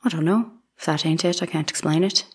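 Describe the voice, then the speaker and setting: A woman's voice: low-pitched, brisk, husky. A woman playing a plain, guarded young domestic servant testifying in a dramatic trial reading, giving terse, deferential answers. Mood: plain, unsure.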